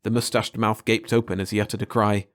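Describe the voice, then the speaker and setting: Man, audiobook narrator, lowish voice, steady clear storytelling. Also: fast.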